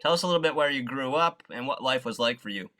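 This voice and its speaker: Earnest, halting male interviewer. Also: medium pitch, animated, bright, forceful tone. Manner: hesitant, full of fillers and restarts, earnest. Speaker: interviewer, facilitator. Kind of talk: interview hosting.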